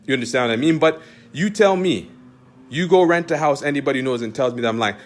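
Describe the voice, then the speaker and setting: A man's voice: mid-range voice, expressive, fast talker. A man with a Canadian accent, an Islamic teacher talking straight to his followers in an emphatic, repetitive way, full of rhetorical questions. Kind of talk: direct-address monologue to followers.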